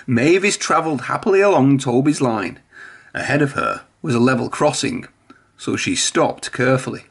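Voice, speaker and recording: Husky man reading a children's story. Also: medium pitch, lively intonation, normal speed. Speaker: storybook reader. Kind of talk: children's read-aloud narration.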